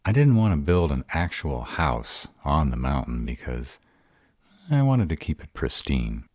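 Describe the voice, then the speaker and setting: A man playing a very deep, bashful were-dragon lover. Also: sing-song, measured pace, darkish tone, no echo, studio quality, heavily acted. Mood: earnest, caring. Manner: hesitant, earnest, tender, easily flustered. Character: gruff, bashful male were-dragon lover in human form. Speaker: voice actor in roleplay audio. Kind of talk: intimate romantic audio roleplay.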